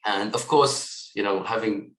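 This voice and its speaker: A man in his late twenties from Lebanon, raised in Kuwait, speaks with a fairly low voice. He talks in a relaxed, thoughtful way with plenty of fillers. His call audio carries a roomy echo. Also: a little slow. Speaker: guest, software tech lead. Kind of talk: interview conversation.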